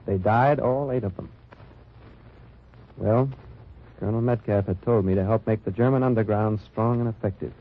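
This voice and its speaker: A man's voice: on the low side, normal speed, long pauses, natural voice. A man acting as an American wartime undercover agent, telling his mission in an understated hard-boiled way in a 1950s radio drama. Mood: grim satisfaction. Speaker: actor and first-person narrator. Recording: muffled old radio recording, music behind.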